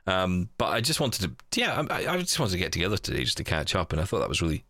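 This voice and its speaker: A man's voice: low voice, huge pitch swings, rapid-fire, pushed and bright, dry recording, clean studio sound. A man hosting a casual podcast chat, speaking in a conversational, opinionated way. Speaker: podcast host.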